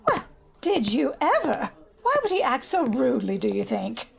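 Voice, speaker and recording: A woman's voice: high-pitched, big pitch swings, normal speed, gravelly, breathy edge, exaggerated voice. A woman playing a haughty, gossipy older society lady with an affected manner in a radio drama. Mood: affronted. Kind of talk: old-time radio drama acting.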